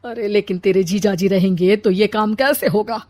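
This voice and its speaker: A woman's voice: mid-range voice, fairly even intonation, moderate pace, darkish tone, close to an everyday voice. A woman playing a bossy, plotting older mother-in-law in a comic family story, dramatic and complaining. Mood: conspiring.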